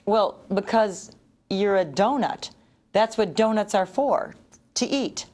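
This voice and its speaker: A woman's voice: medium pitch, slow, a little mellow, close to an everyday voice. A woman voicing a mild, sheepish, well-meaning man in a children's storybook, hesitant and apologetic.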